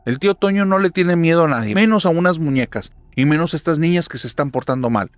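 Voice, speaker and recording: A man's voice: a little high, animated, fast talker, no room sound, voice pushed up, natural voice. A man doing a stern scolding big sister. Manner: firm, scolding, raised voice. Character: stern older sister scolding haunted dolls.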